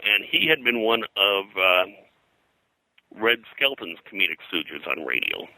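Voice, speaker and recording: An older man, a pop culture historian being interviewed, reminiscing in a halting, digressive way full of detail. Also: lowish voice, big pitch swings, sharp tone, no echo, low-quality recording. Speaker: pop culture historian and comics colorist, guest.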